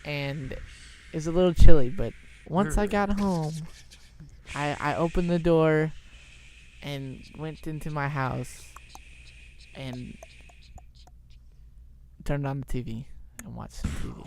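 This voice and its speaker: A young man telling an improvised story in a halting, informal way. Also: a little high, creaky, a little mellow, a little hiss or hum. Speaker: storyteller, show host.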